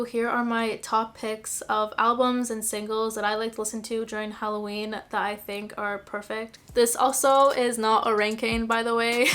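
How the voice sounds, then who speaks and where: A woman's voice: a little high, fairly bright tone. A woman from Iraq giving an enthusiastic, descriptive vlog talk, sometimes wondering aloud to her viewers. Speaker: music video creator. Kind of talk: casual scripted vlog talk.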